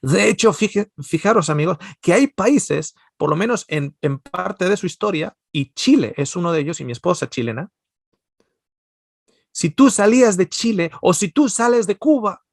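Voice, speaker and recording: Middle-aged Spanish-accented man, seminar speaker, gravelly voice, dry close sound, lively and rambling. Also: high voice, no room sound. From Spain, Basque Country. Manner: animated, digressive, many restarts and stammers. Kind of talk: religious seminar talk.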